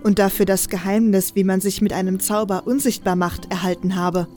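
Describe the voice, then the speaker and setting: A woman's voice: mid-range voice, steady tone, brisk, dark tone, faint music behind, lightly acted. A woman playing a confident, teasing female assassin with a mocking manner in an audio drama. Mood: teasing, amused. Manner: mocking, playful, self-assured. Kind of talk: German fan audio drama acting.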